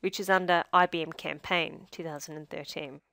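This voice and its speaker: A woman reading a scripted software tutorial as demo narrator, in a measured, instructional manner with phrase endings that trail down. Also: low voice, steady tone, creaky, smooth, no room sound.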